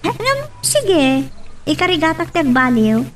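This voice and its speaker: High voiced ilocano woman actress playing her, chatty radio love story stuff, bit far from the mic. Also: very animated, unhurried. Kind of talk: Ilocano radio drama acting.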